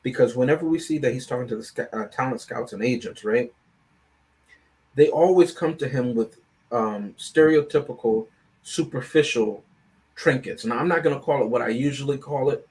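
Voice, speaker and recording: A man with a mid-pitched voice takes part in a casual film review panel. He talks in a discursive, analytical way, building his point and often checking in with a short "right?". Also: moderate pace, a little mellow, poor audio.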